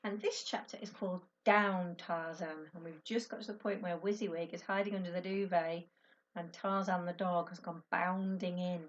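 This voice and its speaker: A woman's voice: lowish voice, fairly even intonation, moderate pace, muffled recording. A woman teacher reading a children's story aloud expressively to her class.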